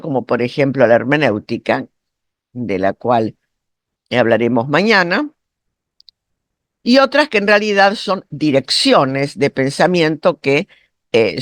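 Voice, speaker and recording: A man lectures to a university seminar in a fairly high male voice, recorded with little room sound. He explains step by step, halting and rewording as he goes. His throat is sore, so speaking takes some effort. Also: fairly bright tone, no echo, studio quality. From Argentina. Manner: didactic, halting, often rephrasing and checking understanding. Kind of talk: academic lecture, spoken off the cuff.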